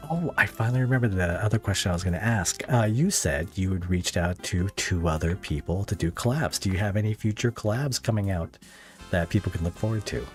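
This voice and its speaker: A man's voice: low-pitched, fairly quick. A man hosting a casual podcast interview, informal and a little hesitant in his phrasing. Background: soft guitar music.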